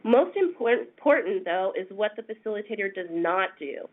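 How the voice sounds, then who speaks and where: A woman with a stuffy, congested voice presents a webinar, explaining things step by step. She pauses often with small fillers. The sound comes through a tinny phone-line connection. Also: mid-range voice, animated. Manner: measured, explanatory, with frequent "um"s. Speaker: senior attorney presenting a webinar. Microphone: phone conference line.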